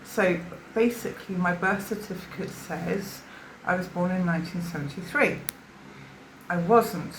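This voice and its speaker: A woman in her fifties from South Africa tells her story in a hesitant, rambling way, stopping and restarting often. Her voice sits fairly low. The recording is roomy and echoey, with a faint hiss behind it. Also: a little slow. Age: 50s. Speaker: interviewee giving a witness statement. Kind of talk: personal testimony in conversation. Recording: roomy echo.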